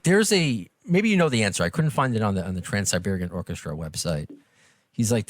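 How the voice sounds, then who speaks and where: A man with a New York accent chats as a podcast host, his fairly low voice rising and falling freely. He rambles and pokes fun at himself in a casual interview style. The sound is close and dry. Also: no room sound. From Long Island and Brooklyn, New York. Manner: rambling, self-deprecating.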